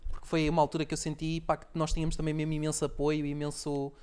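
Fast, higher-pitched Portuguese man bantering. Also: completely flat delivery, darkish tone, clean tone. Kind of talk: casual banter.

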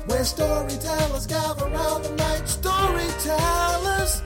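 Loud theme music carries a woman's fairly high voice. She performs a radio jingle, partly sung and partly spoken, drawing out her phrases slowly. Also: steady tone.

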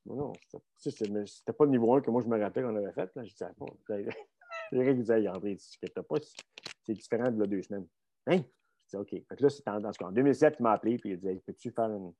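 Gravelly Québécois man telling stories. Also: lowish voice, fast talker, warm tone. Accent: Québécois French. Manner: rambling, many fillers and restarts, blunt. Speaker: strength coach guest. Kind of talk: casual conversational storytelling.

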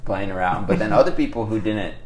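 A young man telling a funny story in casual chat, laughing often and animated. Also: on the low side, a little fast. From Arizona. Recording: roomy echo.

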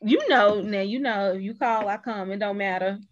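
A woman's voice: medium pitch, moderate pace, highs cut off. A woman talking as a guest in a casual chat, with an emphatic, opinionated way of speaking. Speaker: guest on a talk show.

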